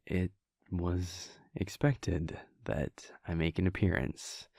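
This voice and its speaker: A man performs a wry, affectionate vampire prince in an intimate audio roleplay. His voice sits very low and he takes his time, speaking slowly and softly with casual hesitations. It is recorded close and dry, like a private chat with a partner. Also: steady tone, dry recording, full character voice. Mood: wistful, reflective. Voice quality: low, creaky. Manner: hesitant, chuckling, conversational, soft-spoken. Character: playful male vampire prince, affectionate boyfriend, wryly reflective. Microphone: close, dry studio-style microphone.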